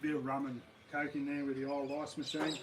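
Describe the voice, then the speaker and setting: A man with an Australian accent and a slightly croaky voice, chatting casually and rambling on a live stream from his backyard. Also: on the high side, fairly quick, noisy background. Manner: rambling, relaxed, many ums and uhs. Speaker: camping channel host. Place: backyard outdoors.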